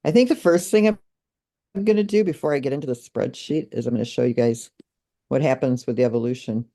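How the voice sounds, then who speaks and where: A woman's voice: very deep voice, fast, dark tone. A woman co-hosting a video call, casually walking through figures on her shared screen with fillers and short laughs. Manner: searching aloud, with fillers and small laughs. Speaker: co-host presenting project numbers.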